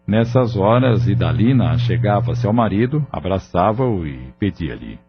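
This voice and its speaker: A man's voice: deep voice, big pitch swings, measured pace, highs cut off, a little hiss or hum. A Brazilian man reading scripted narration for an audio drama. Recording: band-limited recording with music bed. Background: music.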